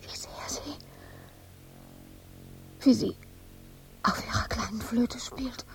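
An actress playing an anguished, exhausted, obsessive woman sculptor in a radio drama monologue, speaking in halting fragments that often sink into breathy whispers. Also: fairly high pitch, fairly bright tone, exaggerated voice. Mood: feverish, urgent.

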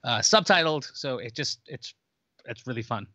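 A man's voice: medium pitch, animated, normal speed, sharp tone, no echo. A man co-hosting a podcast, chatting casually and recommending a show with enthusiasm. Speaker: podcast co-host. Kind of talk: casual chat. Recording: dull lo-fi dry sound.

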